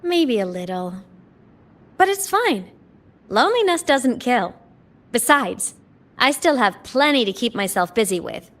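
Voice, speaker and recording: A woman voicing a gentle, wistful yet playful young former student in an anime video game. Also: a little high, sing-song, measured pace, long pauses.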